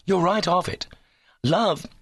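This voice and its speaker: A man's voice: sits high, takes it slowly, breathy edge, dry recording, studio quality, pitched above the performer's own voice, full character voice. A man playing a lovestruck young male golfer, breezy, slangy and earnest, in a comic story reading. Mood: eager, earnest. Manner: breezy, earnest, indignant when rebuffed.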